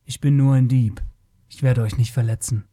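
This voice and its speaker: A man voicing a hardy young barbarian thief in a fantasy story, with a low, terse, muttering delivery. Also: fairly even intonation, moderate pace, warm tone, natural voice. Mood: reassuring.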